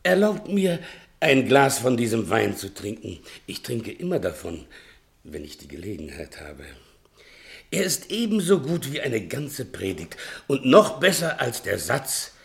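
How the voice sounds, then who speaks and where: An actor playing an obsequious, chatty servant who muses ponderously and self-pityingly in a 1960s German radio play. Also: male voice, medium pitch, very animated, a little slow, gravelly. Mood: ingratiating. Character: servile male servant, chatty philosophising tippler, self-pitying.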